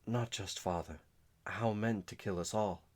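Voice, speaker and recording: A man's voice: fairly low pitch, fairly even intonation, measured pace. A man reading a grieving young noblewoman. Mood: grieving, steady. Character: young noblewoman warden, grieving but composed.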